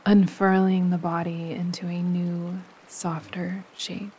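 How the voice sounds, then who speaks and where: A woman leading a guided relaxation meditation as a yoga nidra teacher, speaking gently and soothingly, with pauses between phrases. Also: lowish voice, husky. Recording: steady running stream water behind voice. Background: gentle running stream sounds.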